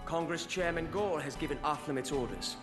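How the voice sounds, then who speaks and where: A man's voice: on the high side, over music, natural voice. Man playing composed, courteous young nobleman. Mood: formal. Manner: formal, measured, polite. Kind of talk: video game cutscene acting.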